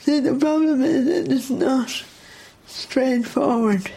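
A woman in her thirties with a Dublin accent and a speech impediment, talking slowly and effortfully. She speaks candidly and reflectively in a documentary interview. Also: high-pitched, gravelly, dark tone, slightly rough. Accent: Dublin Irish. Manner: candid, reflective, emotionally open.

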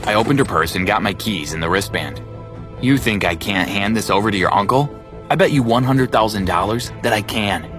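A man's voice: fairly low pitch, animated, fairly quick, music in the background, lightly acted. An actor playing a bitter, wounded young man who narrates his own thriller dramatically in the first person. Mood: cold, bitter.

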